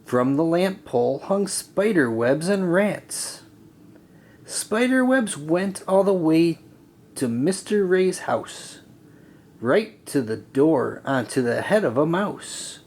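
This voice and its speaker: A man reading a rhyming children's story aloud in an expressive, deliberate, sing-song way. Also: on the high side. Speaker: storybook reader. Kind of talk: children's read-aloud narration.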